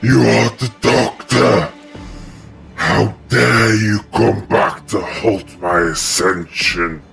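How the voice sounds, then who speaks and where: A man's voice: lowish voice, steady tone, takes it slowly, vocal fry, music in the background, exaggerated voice. A man playing an ancient, hungry plant monster in an audio drama, menacing and vengeful, making grandiose pronouncements.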